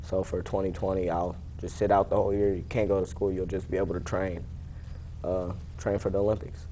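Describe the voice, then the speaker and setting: A man's voice: sits low, little pitch movement, brisk, mellow, a little hiss or hum, low-quality recording. A young American man in his late teens giving casual, plain-spoken interview answers, somewhat tentative. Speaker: interview guest, high school wrestler.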